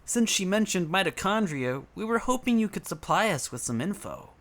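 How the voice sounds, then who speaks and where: A woman's voice: medium pitch, very animated, normal speed, lightly acted. A woman in a fan dub playing an earnest, inquisitive police officer with a businesslike way of questioning. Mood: businesslike curiosity. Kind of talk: fan dub voice acting of a video game scene.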